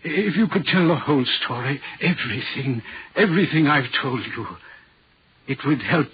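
An actor playing a haunted, guilt-ridden railway signalman in a 1940s radio horror drama, speaking haltingly and anxiously. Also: on the high side, lively intonation, slight background noise, lightly acted. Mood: weary, pleading. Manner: halting, anguished, repeats himself. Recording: muffled, worn 1940s radio recording.

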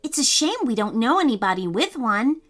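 A woman voicing a curious, excited young boy in a children's story, with an eager, wondering manner. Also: on the high side, normal speed, faint music behind. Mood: wistful, excited.

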